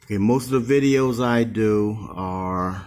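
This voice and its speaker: A man's voice: lowish voice, unhurried, a little mellow. A man giving a casual, unscripted demonstration as a seller, thinking aloud in a plain, hesitant way. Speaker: online seller demonstrating used goods. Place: indoor room, behind a handheld camera. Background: music from speakers being tested.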